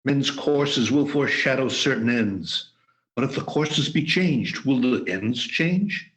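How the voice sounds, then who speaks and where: A repentant old miser pleads in slow, drawn-out phrases , as a man acts him out over a video call. The voice sits at an ordinary middle pitch and never hurries. It has the earnest feel of an amateur radio play. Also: expressive, a bit bright, low-quality recording. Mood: solemn.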